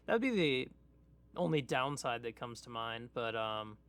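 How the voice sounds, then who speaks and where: Male podcast co-host, bright and smooth, medium pitch, halting casual chat, thinks aloud. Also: very animated. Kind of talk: casual pop culture chat.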